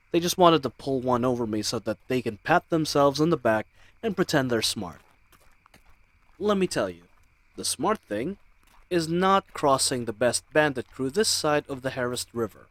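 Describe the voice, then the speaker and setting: A man's voice: medium pitch, dry recording, close to an everyday voice. A man playing a charming, cocky bandit crew leader in an audio roleplay, with a teasing, swaggering, conversational manner. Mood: indignant, boastful. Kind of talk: dramatic audio roleplay acting.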